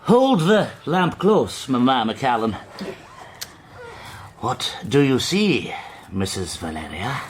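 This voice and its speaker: An actor playing a grandiose, self-pitying, half-mad gentleman in a period radio drama, declaiming in florid phrases that veer between outburst and courtliness. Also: medium pitch, sing-song, slow, long pauses, slight background noise. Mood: courtly.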